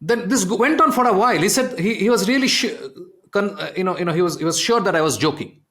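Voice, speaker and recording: A middle-aged man, a podcast host, telling a story in an animated way and often asking "okay?". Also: high-pitched, fast talker. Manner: animated, repeats "I mean" and "okay?". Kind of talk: conversational storytelling.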